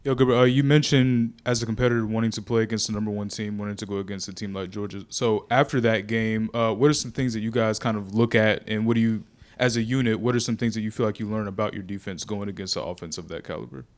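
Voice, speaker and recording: Quick, low-voiced male reporter. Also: fairly even intonation. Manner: informal, long winding question. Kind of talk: press conference question.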